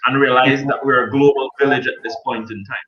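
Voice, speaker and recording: A man's voice: mid-range voice, steady tone, quick pace, a loud crowd. A chatty man from Guyana with a Guyanese accent talks loosely as a panel guest, joking and often restarting his sentences. The audio is dull and lo-fi, with other voices chattering in the background. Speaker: guest journalist. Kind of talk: casual panel discussion.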